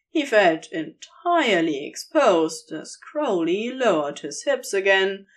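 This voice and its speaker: A woman narrating scripted romantic fan fiction in an intimate storytelling style. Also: mid-range voice.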